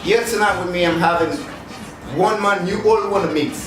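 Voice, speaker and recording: A man's voice: high-pitched, normal speed, recorded from a distance. A man hosting an interview show, chatty and upbeat, with lots of fillers. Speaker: host, MC. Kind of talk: casual talk-show interview. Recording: echoey room, heard from afar, music behind.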